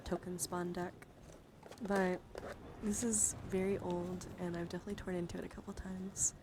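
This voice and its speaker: A woman livestreamer doing playful ASMR, drifting between whispers and soft-spoken casual chat as she coaxes and addresses her viewers directly. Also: mid-range voice, background noise. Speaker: livestreamer, VTuber.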